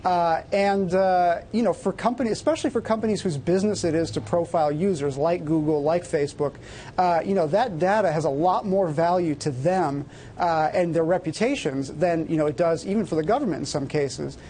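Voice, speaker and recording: A man's voice: on the high side, brisk, dark tone, a little hiss or hum. A man giving off-the-cuff technology commentary on a broadcast panel, explaining things in a hedging, conversational way.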